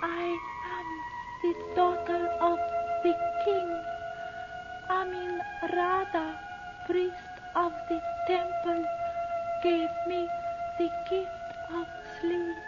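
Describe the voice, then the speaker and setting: Entranced ancient princess, very high, slow. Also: female voice. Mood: dazed. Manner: halting, solemn, trancelike. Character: ancient Egyptian princess, entranced guardian of sacred flame. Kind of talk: old-time radio serial acting. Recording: dull old radio recording, loud music.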